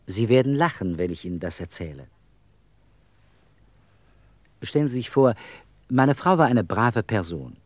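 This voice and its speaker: Animated, eccentric middle-aged beggar. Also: male voice, fairly low pitch, brisk, no room sound. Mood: bitterly amused. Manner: theatrical, rambling storyteller, wry. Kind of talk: radio play acting.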